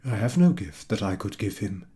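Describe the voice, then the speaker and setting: A man voicing a husky, kindly old shoemaker. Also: lowish voice, big pitch swings, moderate pace, voice pushed down, full character voice. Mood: sad. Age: older. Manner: warm, earnest, exclaiming. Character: kindly old shoemaker, warm-hearted and cheerful. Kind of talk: storybook reading.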